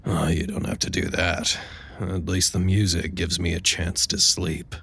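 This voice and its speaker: Tired jumpy office radio man in an audio drama, creaky rough voice, evasive and hesitant. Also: sits low, level tone, measured pace, a bit bright, exaggerated voice. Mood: weary. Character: exhausted, jittery, stubborn male office radio operator. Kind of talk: naturalistic audio drama dialogue.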